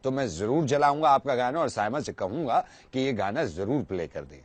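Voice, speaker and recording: A man's voice: mid-range voice, animated, background noise. A man working as a radio jockey, making a prank phone call in a courteous, formal way. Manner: courteous, formal, deliberate politeness.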